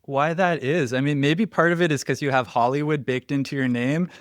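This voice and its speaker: A man's voice: fairly high pitch, steady tone, a little fast, dry recording, clean studio sound. A man hosting an interview show, chatty and upbeat as he talks with his guest. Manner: upbeat, conversational. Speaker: podcast host. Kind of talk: casual interview talk.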